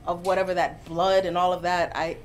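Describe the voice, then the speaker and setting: Jamaican-born woman professor, lowish voice. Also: a little fast, a little hiss or hum. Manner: animated storytelling, gets louder and laughs when amused. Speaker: professor, interview guest. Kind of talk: conversational interview. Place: bookstore, seated in armchair indoors.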